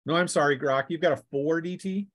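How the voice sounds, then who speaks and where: A man's voice: on the high side, a little fast, fairly bright tone, no echo. A man acting as game master, narrating dice combat in an informal, conversational way. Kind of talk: running a tabletop role-playing game.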